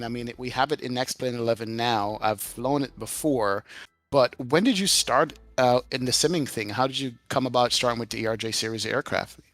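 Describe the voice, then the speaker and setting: A man's voice: mid-range voice, a bit bright, no room sound. A man co-hosting a casual podcast, talking in a friendly, chatty way. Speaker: co-host.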